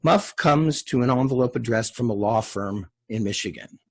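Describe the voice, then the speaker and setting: Husky mid-pitched man narrating. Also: normal speed, a little mellow. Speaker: host and stage-direction narrator. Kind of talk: scripted screenplay narration and casual introductions.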